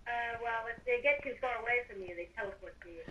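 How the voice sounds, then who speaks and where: A woman's voice: fairly high pitch, fast talker, pushed and bright. A woman chatting casually while playing and roleplaying in a video game over voice chat. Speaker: player in a group game roleplay. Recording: distant mic, faint noise and clicks.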